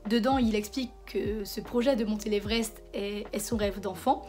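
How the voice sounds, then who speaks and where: A hoarse woman with a cold hosting a casual commentary video, talking conversationally with hesitant restarts. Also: mid-range voice, steady tone, normal speed, a little mellow, faint music behind. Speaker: video essayist host.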